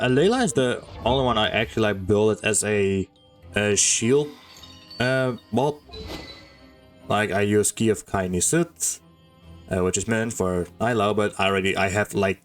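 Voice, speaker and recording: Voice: deep voice, moderate pace. Game streamer, casual commentary, rambling informal talk, music in the background.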